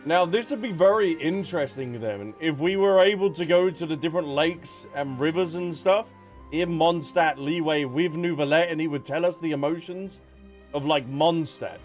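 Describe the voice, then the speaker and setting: A man's voice: fairly high pitch, normal speed. A man streaming a video game, casually commenting on the dialogue in a playful, joking manner. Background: game music.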